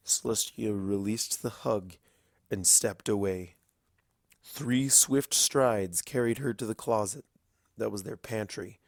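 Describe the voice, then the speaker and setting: A man narrating dramatic fiction in a grave, deliberate storytelling style, with weighty pauses. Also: on the low side, vocal fry, dry recording, studio quality.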